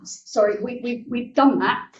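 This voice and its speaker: A woman's voice: fairly high pitch, moderate pace, highs cut off. A woman councillor at a meeting who asks for clarification, stammering a little as she speaks. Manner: questioning, stammering. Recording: distant, echoey, noisy room mic.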